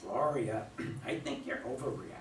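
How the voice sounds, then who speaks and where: A man's voice: mid-range voice, animated, moderate pace, distant mic, natural voice. Guy doing sarcastic dismissive husband in a play reading, echoey, off the mic, faint noise. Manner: mocking, belittling. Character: dismissive, sarcastic husband scoffing at his wife. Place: room at a live readers' theater reading.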